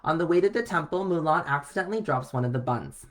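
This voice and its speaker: Male storybook reader, expressive delivery, children's read-aloud. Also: a little high, fast talker.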